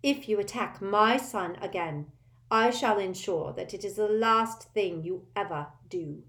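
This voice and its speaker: A woman's voice: medium pitch, a little slow, a bit bright, voice pushed up. A woman voicing a cold, haughty aristocratic witch mother who speaks with contempt.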